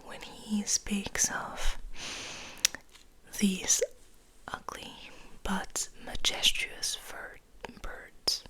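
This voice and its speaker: A woman whispering softly in an ASMR style, reading and rambling in a hushed, unhurried, gentle way. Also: mid-range voice. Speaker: ASMR host.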